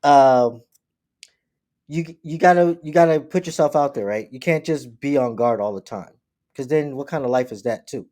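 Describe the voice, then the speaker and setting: A man with a mid-pitched voice co-hosting a casual podcast. He tells stories with animated bursts and laughter, swears playfully, and drops to a quieter register when he turns reflective. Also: normal speed. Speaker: podcast co-host. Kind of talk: casual storytelling chat.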